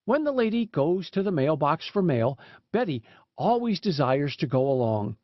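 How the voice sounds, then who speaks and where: A man's voice: fairly high pitch, sing-song, moderate pace, no echo. A man reading a storybook aloud as an audiobook narrator, in a scripted narration style. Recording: dull, lo-fi sound.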